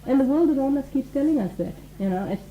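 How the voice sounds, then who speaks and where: A woman's voice: high-pitched, lively intonation, fast talker, reverberant, phone-quality sound, slight background noise. A woman who teaches mindfulness and talks earnestly on a radio show, explaining ideas through everyday examples and acted-out quotes. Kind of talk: conversational radio talk.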